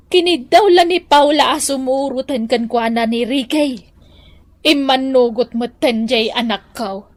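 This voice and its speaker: A woman's voice: on the high side, no echo. A woman acting an older, caring but fretful mother in a Filipino radio drama, with an Ilocano accent and a sighing manner.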